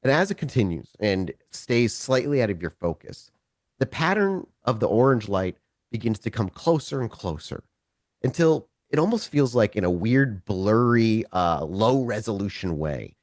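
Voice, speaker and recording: A man narrating a tabletop roleplaying game as storyteller, in a descriptive, deliberate manner. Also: fairly low pitch, big pitch swings, a little mellow, no room sound, clean studio sound.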